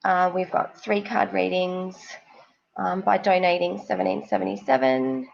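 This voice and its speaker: A woman with a cold and a snuffly nose, giving psychic card readings on a live stream in a slow, rambling, reassuring way. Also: on the low side, lively intonation, creaky, lo-fi sound.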